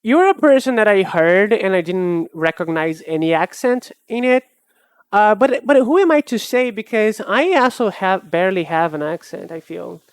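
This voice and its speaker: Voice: mid-range voice, lively intonation, normal speed, clear, resonant tone, dry recording. Musing streamer with a Brazilian accent. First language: Portuguese. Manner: musing, hesitant, with frequent restarts. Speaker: art streamer. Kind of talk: casual chat answering a live chat.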